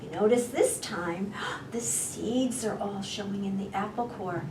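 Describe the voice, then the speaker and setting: A woman's voice: mid-range voice, a little mellow, roomy sound, far from the mic, slight background noise, low-quality recording. A woman storytime reader narrating a children's story expressively, drawing out words for suspense. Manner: drawn-out words, gasps for suspense.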